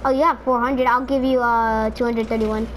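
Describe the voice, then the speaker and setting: Woman, higher pitch, casual rambling gamer, filler-heavy chatter, noisy game audio. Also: little pitch movement, moderate pace. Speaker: streamer playing in a squad. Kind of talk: casual gaming chat.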